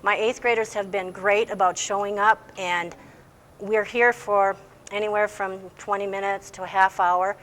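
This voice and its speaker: A woman's voice: mid-range voice, little pitch movement, moderate pace, fairly bright tone, rough recording. A woman teacher giving plain-spoken, informative answers in an interview, explaining how things work. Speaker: English language teacher. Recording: faint background noise.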